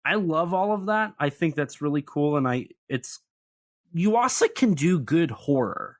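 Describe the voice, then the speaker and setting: A man's voice: fairly high pitch, expressive, measured pace. Chatty man breaking down a monster film. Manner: chatty, analytical, lightly sarcastic. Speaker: podcast co-host. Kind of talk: casual film discussion.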